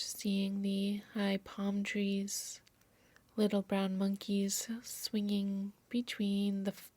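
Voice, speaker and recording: A woman yoga nidra instructor softly narrating a guided meditation in a soothing, measured, breathy voice. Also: mid-range voice, no echo. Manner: soft, soothing, measured delivery.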